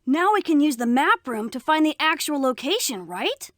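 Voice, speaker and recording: A woman's voice: high-pitched, big pitch swings, normal speed, fairly bright tone, dry recording, clean studio sound. A woman playing an eager, chipper young spy kid in an educational cartoon game. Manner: enthusiastic.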